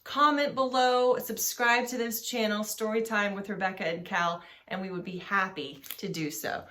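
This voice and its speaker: A woman hosting a children's story channel, reading aloud in an expressive, deliberate, sing-song way. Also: mid-range voice.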